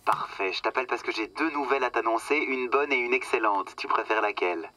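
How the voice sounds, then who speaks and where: A man's voice: medium pitch, a little fast, bright, forceful tone, slight background noise, close to an everyday voice. An actor playing a smug, patronizing corporate marketing man who talks in glib business jargon in a radio satire. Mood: falsely cheerful. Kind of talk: satirical radio comedy dialogue, phone-call scene.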